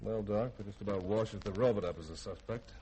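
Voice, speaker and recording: An actor playing a brisk, authoritative spaceship commander in a 1950s radio drama, direct and commanding. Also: male voice, lowish voice, dark tone, lightly acted. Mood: wary. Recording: noisy old radio recording.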